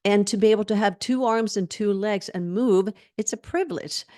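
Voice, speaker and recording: A woman's voice: medium pitch, normal speed, a little mellow, no echo, clean studio sound. A woman in her sixties answering interview questions over a video call, earnest and reflective, laughing often as she talks. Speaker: fitness trainer and guest.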